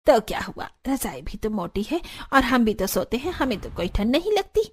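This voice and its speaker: A woman's voice: on the high side, big pitch swings, normal speed, a little mellow. A woman voicing an older, stubborn and traditional village mother-in-law in a cartoon, firm and insistent. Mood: stern.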